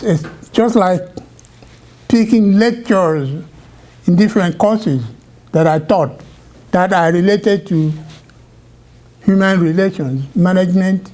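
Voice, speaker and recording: Older retired male teacher from Nigeria, high-pitched but mellow, hesitant remarks. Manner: halting, hesitant. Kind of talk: informal remarks to an audience.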